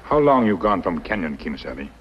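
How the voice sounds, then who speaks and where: Low-voiced stoic western companion. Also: male voice, sing-song, fast. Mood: grave. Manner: terse broken English, grave. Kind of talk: 1950s television western acting. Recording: muffled, noisy 1950s film soundtrack.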